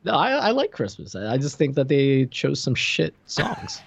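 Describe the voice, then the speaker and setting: A man's voice: medium pitch, huge pitch swings, normal speed, gravelly, slightly rough. A man in his thirties co-hosting a podcast, giving blunt but hedged opinions in casual chat. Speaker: podcast co-host. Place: indoor room, video call.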